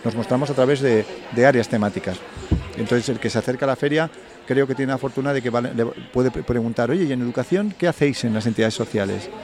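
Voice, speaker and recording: A man's voice: medium pitch, fast, darkish tone. A man with a Spain Spanish accent, a fair organizer talking in a live radio interview outdoors, thoughtful and full of restarts and self-corrections. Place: outdoors in city park gardens. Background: crowd.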